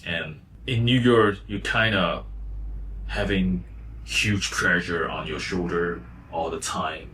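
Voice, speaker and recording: A man's voice: deep voice, slow, background noise. A man in his thirties who grew up in Taiwan, talking casually and reflectively about his life as a freelancer, with fillers and restarts. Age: 30s. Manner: relaxed, rambling, with fillers and restarts. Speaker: vlogger, freelance designer and coach.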